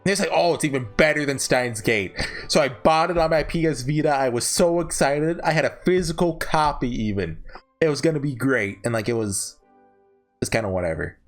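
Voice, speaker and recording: A man talking casually off the cuff as a YouTuber giving reviews, chatty, rambling and opinionated. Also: medium pitch. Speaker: YouTuber host ranking visual novels.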